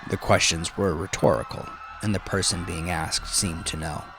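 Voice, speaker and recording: A man with a low voice narrates crime fiction in a dramatic, scripted style. His delivery is grave and deliberate, building suspense over a clear music bed. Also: fairly even intonation.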